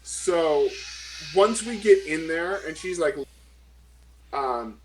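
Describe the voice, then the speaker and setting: A man's voice: on the high side, lively intonation, takes it slowly, slight background noise, poor audio. A man chatting casually as a tabletop role-player, rambling and searching for words as he describes actions. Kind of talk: casual role-play chat.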